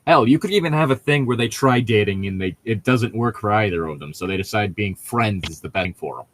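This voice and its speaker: Lowish guy, really lively up and down, rambly jokey podcast chat. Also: brisk, slight background noise. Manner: rambling, jokey tangents with self-corrections. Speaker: podcast co-host commenting on a book. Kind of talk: casual comedic chat.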